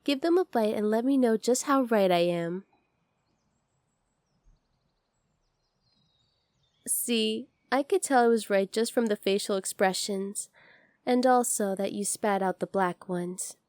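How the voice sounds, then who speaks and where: A woman voicing a devoted, clingy kitsune fox spirit in an ASMR roleplay, speaking softly and intimately straight to the listener. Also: mid-range voice, normal speed, no room sound, clean studio sound, natural voice. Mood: teasing, fond. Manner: soft, tender, intimate, addressing the listener directly.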